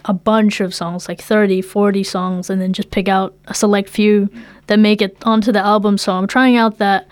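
A woman's voice: mid-range voice, little pitch movement, normal speed, smooth, no room sound. A young woman with a New Zealand accent, talking informally and with slang in a casual interview as a musician guest. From Philippines, moved to New Zealand at eight.

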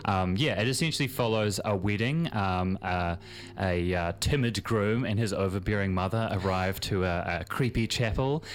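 A man talks as a studio guest in a fairly low voice. He rambles, filling gaps with ums and likes, and keeps slipping in self-mocking jokes. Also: moderate pace. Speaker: theatre actor guest. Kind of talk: casual interview chat.

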